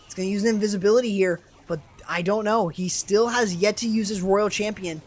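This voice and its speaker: A young man commenting live on a video game match, excitable and quick to burst into exclamations, his voice strained and starting to go hoarse. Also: sits high. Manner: excitable, rising to sudden exclamations. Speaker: esports commentator and streamer.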